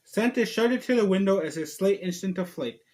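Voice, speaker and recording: A man's voice: high-pitched, expressive, normal speed, a little mellow, slight background noise. A man reading a storybook aloud and chatting casually to viewers, with a playful, giggly manner.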